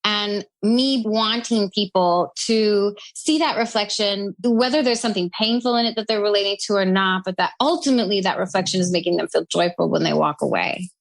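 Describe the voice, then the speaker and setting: A woman's voice: medium pitch, brisk, creaky, hardly any pauses, no echo. A woman in her forties from South Dakota, a guest writer, talking reflectively in long, flowing thoughts. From South Dakota, United States. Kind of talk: casual conversation.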